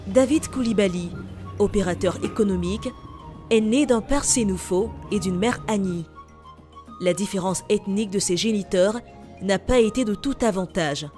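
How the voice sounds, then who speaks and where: A woman from Côte d'Ivoire, reading a scripted TV news voice-over in an even, measured way. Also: medium pitch, faint music behind. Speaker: TV reporter.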